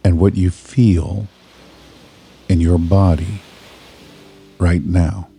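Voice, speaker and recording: Man, low dark mellow voice, guided hypnosis narration, measured, soothing, short phrases with pauses. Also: little pitch movement, gravelly, breathy edge, soft background music. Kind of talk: slow scripted guided meditation.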